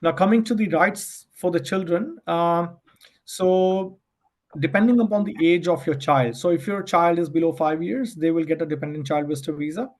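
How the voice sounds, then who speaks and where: A man with a slightly high voice leads an online webinar as an adviser. He explains things step by step in polite, halting phrases, often restarting as he goes. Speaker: immigration adviser hosting a webinar.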